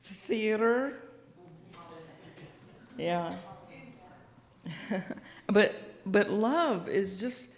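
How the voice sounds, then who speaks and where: A soft-spoken woman minister giving a gentle, thoughtful sermon, pausing often with small fillers, speaking into a microphone in a church sanctuary. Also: medium pitch, lively intonation. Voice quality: quiet voice. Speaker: guest minister. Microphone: house sound system microphone. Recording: long hall reverb.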